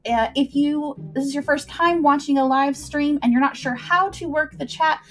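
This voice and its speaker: A woman's voice: high-pitched, moderate pace. A woman hosting a livestream, chatting informally with viewers and recapping a story, with asides to the chat. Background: background music.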